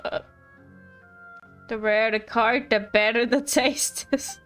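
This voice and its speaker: A woman live-streaming a game in a fairly high voice. She chats casually and playfully, rambling into mock-indignant asides, with some music behind her. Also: fairly even intonation, slow, a bit bright.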